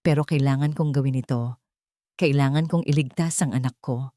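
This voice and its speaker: A woman's voice: a bass voice, steady tone, moderate pace, no echo, studio quality, pitched below the performer's own voice. A woman voicing a scheming stepmother in a dramatic story, sweet on the surface, cold and desperate underneath, with a resolute manner. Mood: cold resolve. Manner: hushed, resolute.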